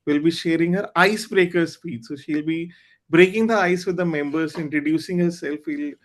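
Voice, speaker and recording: A man's voice: on the high side, steady tone, moderate pace. Man hosting an online club meeting. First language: Hindi. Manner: earnest, candid, with fillers and restarts. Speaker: meeting host. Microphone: video call.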